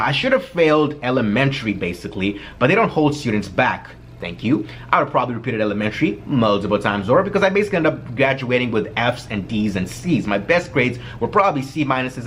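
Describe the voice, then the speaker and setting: A man's voice: medium pitch, slight background noise. A young man in his early twenties from Kenya, with a Kenyan accent, telling his life story to camera in a chatty, joking way. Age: early 20s. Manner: chatty, animated, self-deprecating humor. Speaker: vlogger. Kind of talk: casual personal storytelling to camera.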